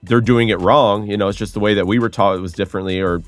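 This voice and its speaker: A man hosting a DJ podcast who reads out quick either-or questions and chats casually. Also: on the low side, steady tone, soft background music. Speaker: DJ and podcast host.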